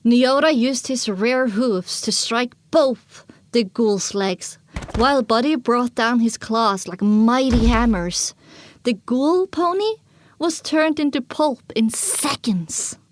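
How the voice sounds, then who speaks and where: A woman narrating a first-person story as an audiobook, expressive and dramatic, on a close microphone. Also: on the high side, takes it slowly, dry recording.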